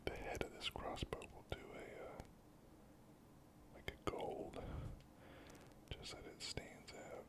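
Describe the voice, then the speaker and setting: Whispering ASMR miniature painter. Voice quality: breathy, unvoiced whisper. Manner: unhurried, casual murmured musing. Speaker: hobby miniature painter and video host.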